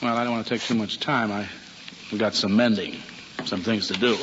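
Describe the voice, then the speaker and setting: A man plays a proud, gruff American cop and single father from a vintage radio play. He blusters and hesitates in a folksy way, at a medium pitch. The recording is dull, with faint noise. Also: fairly even intonation, fairly quick, natural voice. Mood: subdued, resigned. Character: gruff, proud, flustered widowed policeman father.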